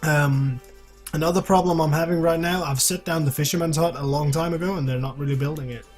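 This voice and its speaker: A man's voice: on the high side, steady tone, brisk. A man casually commentating as he plays a game on a live stream, hosting a guest. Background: background music.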